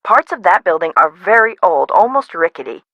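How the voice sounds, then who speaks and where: A woman's voice: fairly low pitch, big pitch swings, fairly quick, fairly bright tone, no echo, highs cut off. A woman playing a blunt, businesslike amusement park boss in a video game, with a matter-of-fact manner.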